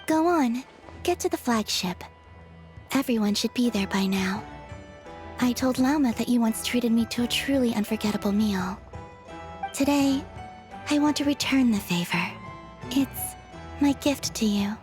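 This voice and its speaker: An actress plays a young woman from a video game. Her voice sits fairly high, and she speaks with composed, courteous restraint. Music plays behind her. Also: unhurried, pauses often, a little mellow, close to an everyday voice. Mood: calm, cordial. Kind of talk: video game dialogue acting.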